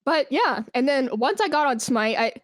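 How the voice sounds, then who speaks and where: A woman speaking as a guest in a casual podcast interview, animated and self-deprecating, with slangy phrasing. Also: high-pitched, moderate pace, no room sound, studio quality. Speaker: podcast guest, esports brand manager.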